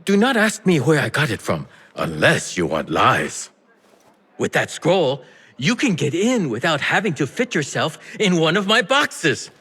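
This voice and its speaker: An actor playing a sly, theatrical merchant and smuggler with a teasing, playful manner in a video game. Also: male voice, a little high, big pitch swings, unhurried, pushed and bright, breathy edge, full character voice. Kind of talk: video game cutscene acting. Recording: music behind the voice.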